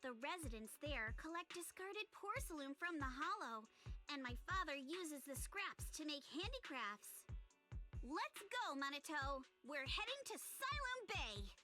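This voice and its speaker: An actress playing a brash, mischievous girl in a video game, teasing and excitable. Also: very high-pitched, exaggerated voice. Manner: cheeky, animated. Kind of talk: English video game voice acting.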